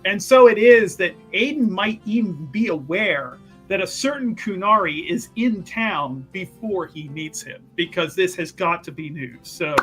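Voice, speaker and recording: A man with a high, bright voice improvises his character's story at a tabletop game. He talks casually and with animation, with faint music underneath. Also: animated, unhurried, hardly any pauses, husky. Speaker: player in a tabletop roleplaying game.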